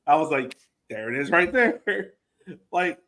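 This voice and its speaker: A man's voice: fairly high pitch, big pitch swings, measured pace, bright, forceful tone. An American man co-hosting a soccer podcast, thinking aloud in an informal, conversational way with plenty of "like". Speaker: podcast co-host and soccer supporter. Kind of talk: casual sports chat.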